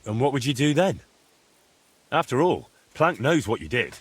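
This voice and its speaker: A sensible adventurer in a medieval video game. His voice is mid-pitched, husky and very expressive. He speaks frankly, with a dry, questioning edge. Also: moderate pace. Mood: probing. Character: sensible, helpful young male adventurer with dry wit. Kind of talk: video game dialogue acting.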